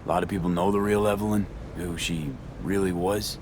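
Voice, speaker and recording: A deep male voice over noticeable rumbling noise. An actor plays a steady, streetwise mercenary in a video game, a supportive friend. He speaks tersely, with understated, naturalistic delivery. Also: a little fast, close to an everyday voice. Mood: gentle, steady.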